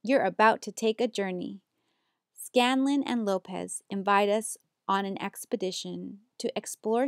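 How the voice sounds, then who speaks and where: Young woman with a gravelly, dry voice. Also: mid-range voice, dry recording. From United States. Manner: steady, earnest, measured reading. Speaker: graduate student presenter. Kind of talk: reading a prepared slideshow presentation.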